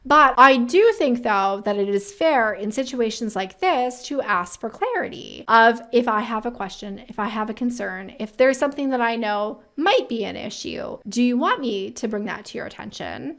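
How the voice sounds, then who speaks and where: A woman with a somewhat high-pitched voice hosts a career advice video. She speaks in a conversational, emphatic way. She often frames her commentary as rhetorical questions. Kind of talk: casual commentary reacting to viewer-submitted quotes.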